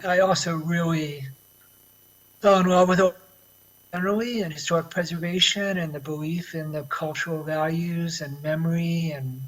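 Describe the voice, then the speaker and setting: A man from Florida with an American accent, an architect and academic speaking thoughtfully with pauses in an online interview. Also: a little high, fairly bright tone, low-quality recording. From Florida, USA.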